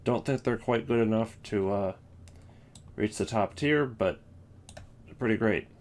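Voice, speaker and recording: A man with a lowish voice talks off the cuff like a host ranking things, rambling as he thinks aloud. Keyboard taps and mouse clicks sit behind him. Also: unhurried, darkish tone. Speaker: host ranking video game voice lines. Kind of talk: casual unscripted commentary.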